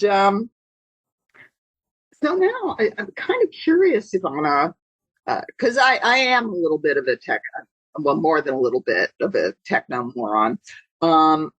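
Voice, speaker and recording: A woman hosting an interview podcast, chatty and self-deprecating, with pauses and fillers as she talks. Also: lowish voice, sing-song, a bit bright, breathy edge, no room sound, rough recording. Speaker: podcast host.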